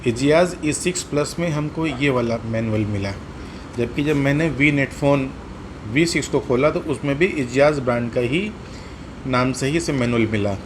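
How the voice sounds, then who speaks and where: A man's voice: mid-range voice, noisy background. A man with an Indian accent explaining a hands-on product demonstration step by step, repeating his points. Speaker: host of a motorcycle gear tutorial.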